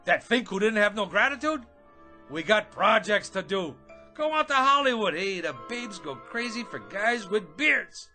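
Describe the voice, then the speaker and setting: A man's voice: high-pitched, very animated, normal speed, sharp tone, soft background music, full character voice. An actor playing a brash, self-important hack writer in a comedic radio drama, slangy, boastful and stammering as he wheedles. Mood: pleading hard sell.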